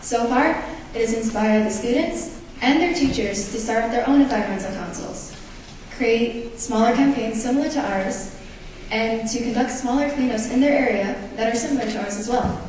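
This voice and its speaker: A woman speaks earnestly onstage in a slightly high voice. She is far from the mic in a very echoey theatre. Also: moderate pace, a little mellow, a little hiss or hum. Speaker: pageant candidate. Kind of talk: speech to a pageant audience.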